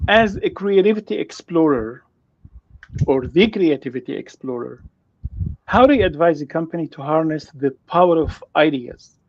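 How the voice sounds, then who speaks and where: A man hosts an online panel and draws out his guests with enthusiasm. His voice sits fairly high, and the audio is dry and somewhat dull. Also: no echo. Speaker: host.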